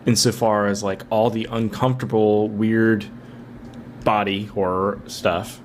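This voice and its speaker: A man's voice: lowish voice, little pitch movement, a little slow. Rambling male movie podcaster, faint noise. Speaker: podcast co-host. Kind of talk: casual film discussion.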